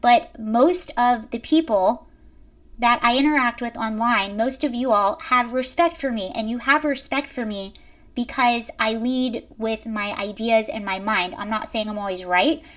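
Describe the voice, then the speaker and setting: A young woman with a high-pitched voice delivers emphatic, lecturing commentary to her viewers. She talks directly to the audience, pressing her points one after another. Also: unhurried, fairly bright tone. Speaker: commentary host. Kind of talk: reaction commentary to camera.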